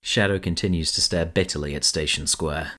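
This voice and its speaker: A man's voice: extremely low pitch, fairly even intonation, a little fast, pushed and bright. A man narrating a fan audio drama in a plain, matter-of-fact way. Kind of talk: scripted narration.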